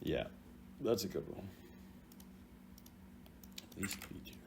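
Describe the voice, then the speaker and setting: Animated, low-pitched young man reacting casually. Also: takes it slowly, creaky, noisy background. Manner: animated, playful, swinging between loud exclamations and low mutters. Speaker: gaming video host. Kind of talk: casual reaction commentary ranking game voice lines.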